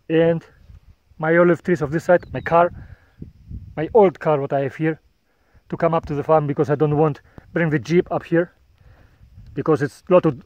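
A man in his thirties gives an unhurried walk-and-talk tour of his farm, filming himself on a handheld phone. He talks in plain, non-native phrasing and chuckles often. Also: fairly high pitch, darkish tone, dry recording, highs cut off. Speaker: farmer vlogger. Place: outdoors on a hillside farm and inside a bird shed. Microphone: phone camera at arm's length.

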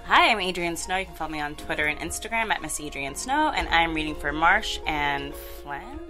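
A woman's voice: low voice, lively intonation, brisk, sharp tone, soft background music. A woman cast member giving a casual self-introduction in a podcast studio. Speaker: cast member of a table read.

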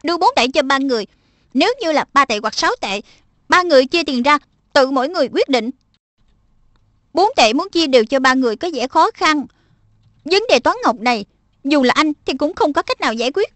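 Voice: high-pitched, animated, brisk, long pauses, a bit bright, no room sound. A performer voicing a knowing, instructive magical little lion pet in a dubbed children's cartoon.

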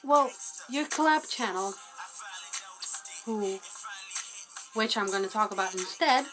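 A woman's voice: medium pitch, animated, slow, over music. A woman in her twenties, originally from Albania, rambling through an unplanned vlog into a webcam with lots of fillers and restarts, poking fun at herself. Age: 20s. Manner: rambling, self-deprecating, many fillers and restarts. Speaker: guest vlogger. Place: small dim room indoors. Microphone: webcam at close range.

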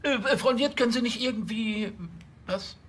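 An actor playing a flustered, self-important senior police officer, stammering and officiously polite in a TV drama. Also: male voice, very high-pitched, moderate pace, a bit bright, a little hiss or hum. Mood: flustered, jovial.

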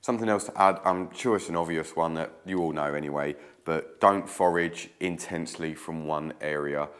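A man in his thirties hosting a casual craft tutorial, croaky from a cold, chatting as he weaves in an old stone-walled room. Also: a bass voice, moderate pace, a bit bright. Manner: chatty, hands busy weaving.

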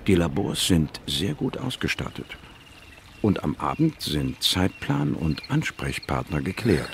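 An actor playing a confident, worldly biologist in a science fiction radio drama, dry and explanatory. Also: male voice, deep voice, very animated, measured pace, vocal fry, a little hiss or hum, exaggerated voice. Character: confident, worldly male biologist and space investigator. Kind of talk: radio drama dialogue and first-person narration. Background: music.

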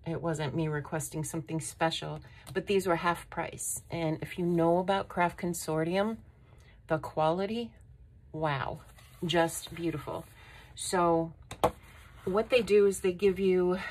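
Woman, craft haul vlog, slow unhurried talk, lowish voice, faint room hum, tabletop camera. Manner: unhurried, admiring, with frequent ums. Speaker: craft vlogger. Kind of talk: casual show-and-tell haul. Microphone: overhead camera on a tabletop.

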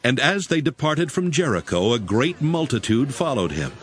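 Male narrator, formal and measured, mid-pitched with lively intonation, music behind. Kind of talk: scripted scripture narration.

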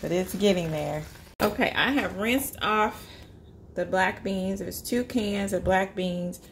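A woman's voice: medium pitch, takes it slowly, slight background noise. A woman, chatting casually and upbeat as she walks through a cooking prep at home, thinking aloud as she goes. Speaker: vegan cooking vlogger. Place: home kitchen.

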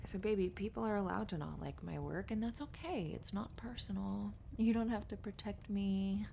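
A woman playing an affectionate, playful writer girlfriend in an intimate ASMR roleplay, gently reassuring her partner in a giggly, rambling way. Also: mid-range voice, moderate pace, vocal fry, natural voice. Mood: soothing, earnest. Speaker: audio roleplay performer. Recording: noisy fireplace ambience behind voice. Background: fireplace ambience.

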